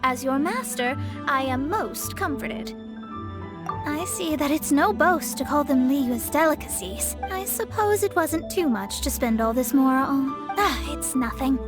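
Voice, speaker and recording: A woman's voice: high-pitched, lively intonation, a little slow, music in the background. A woman playing a proud, prickly young female astrologist in a video game, speaking in a lofty, formal manner. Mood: flustered pride. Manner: lofty, formal, self-important. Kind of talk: video game character voice acting.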